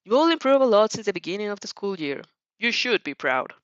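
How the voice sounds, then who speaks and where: A proud, encouraging male mentor as performed by a woman for an anime fan story. He is hearty and a little formal, with animated pitch and a dry, dullish sound. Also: brisk, dry recording, muffled recording, natural voice.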